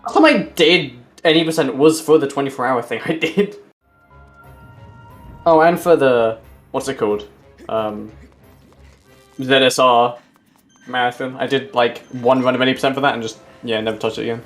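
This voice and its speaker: A man with a loud, booming voice doing casual speedrun commentary, rambling with lots of fillers, his mic at forearm's length. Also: moderate pace, long pauses, faint music behind. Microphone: desk mic at forearm's length.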